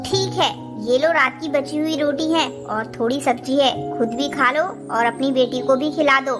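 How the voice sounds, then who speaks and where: A woman voicing a stingy, scheming female bird in a cartoon, bossy and sharp-tongued with her family. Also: high-pitched, normal speed, music in the background, natural voice. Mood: grudging. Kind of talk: Hindi cartoon moral-story dialogue.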